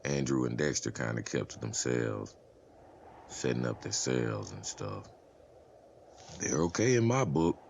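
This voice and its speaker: A man's voice: very deep voice, expressive, measured pace, gravelly, pauses often, a little mellow, slight background noise, exaggerated voice. A man playing an easygoing, jokey survivor chatting casually in a dramatized comic. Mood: casual report. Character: easygoing, joking male survivor reporting on prison chores. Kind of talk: dramatized comic-book voice acting.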